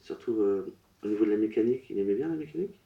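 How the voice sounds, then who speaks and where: A man working as a medium at a public séance, relaying messages in short, broken, halting phrases. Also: on the low side, lively intonation, narrow, tinny audio. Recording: roomy echo.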